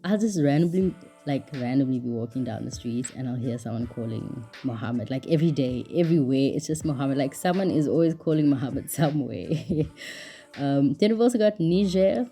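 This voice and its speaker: Young woman vlogger, very deep mellow voice, chatty, filler-heavy, laughs easily, soft music under. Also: expressive, normal speed. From South Africa. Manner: chatty, lots of "like", laughs often. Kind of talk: casual travel vlog narration.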